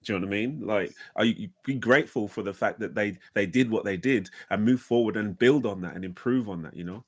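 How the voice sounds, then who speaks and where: A man's voice: on the low side, fast, breathy edge, dry recording, studio quality. A man co-hosting a talk show, reflective and hesitant, with frequent "um" and "you know".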